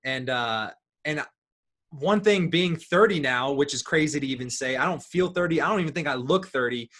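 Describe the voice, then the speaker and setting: A man of thirty hosting a casual podcast talk, relaxed and rambling, with many ums and likes. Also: a little high, moderate pace. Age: 30s. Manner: relaxed, rambling, filler-heavy. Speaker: podcast host.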